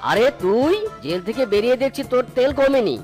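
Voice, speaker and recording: Brief: angry cartoon cop husband, quick and barking, a woman doing the voice, loud music. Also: on the high side, animated, voice pushed down, lightly acted. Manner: barking, threatening. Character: gruff male policeman husband, angry.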